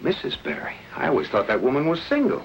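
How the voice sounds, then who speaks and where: An actor playing a steady, protective, mildly exasperated husband and amateur sleuth in an old-time radio mystery. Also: male voice, fairly high pitch, quick pace, creaky, a little hiss or hum, full character voice, low-quality recording. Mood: calm, puzzled. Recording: old radio broadcast with music.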